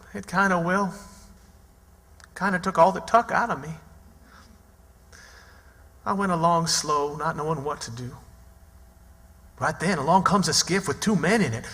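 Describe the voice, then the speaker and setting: A man's voice: high voice, measured pace, long pauses, a bit bright, long reverb tail. An actor playing a folksy, earnest runaway country boy in radio drama, with an American Southern accent and rustic, ungrammatical speech. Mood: troubled, confiding.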